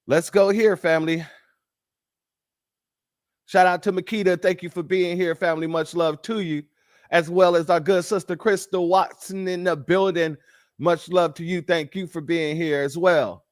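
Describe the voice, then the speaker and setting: A man's voice: a little high, dry recording, clean studio sound. A man hosting a livestream, delivering fiery, emphatic and profane preaching-style commentary and thanking donors by name. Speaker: livestream host.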